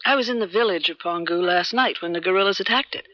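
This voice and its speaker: A woman's voice: on the low side, quick pace, close to an everyday voice. Actress as a poised female secret agent, dull recording. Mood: urgent. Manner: poised, assertive, cool. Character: poised, determined female American government agent, persuasive and secretive. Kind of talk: 1970s radio drama acting. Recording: dull 1970s radio broadcast recording.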